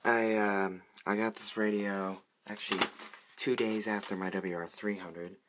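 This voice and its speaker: Casual hobbyist show-and-tell: man, low flat voice, rambling and repetitive, faint noise on an amateur recording. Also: a little slow. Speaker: hobbyist showing a weather radio collection.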